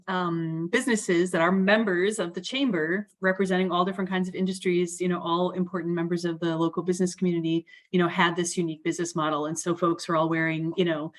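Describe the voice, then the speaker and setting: A woman sharing an anecdote casually in an online meeting, in a conversational, meandering and explanatory way. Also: lowish voice. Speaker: participant sharing an experience. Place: remote online call.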